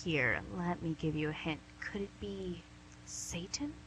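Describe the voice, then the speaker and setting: Lady Devil, acted by a woman: sharp bright voice, smug tempting charm, comic radio play, noisy humming recording. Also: fairly low pitch, measured pace. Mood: teasing. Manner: teasing, chatty, theatrical. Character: female Devil, playful, charming, smugly tempting businesslike boss. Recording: noisy amateur recording with hum.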